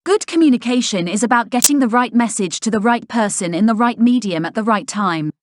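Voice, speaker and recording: A woman reading a scripted passage aloud with measured, careful enunciation. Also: on the high side, expressive, slight background noise. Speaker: read-aloud model reader.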